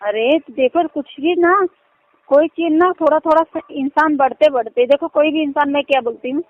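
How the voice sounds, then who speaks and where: High-pitched woman on a muffled phone call. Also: moderate pace, dry recording. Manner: insistent, repeatedly checks the listener understands. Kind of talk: casual private phone conversation.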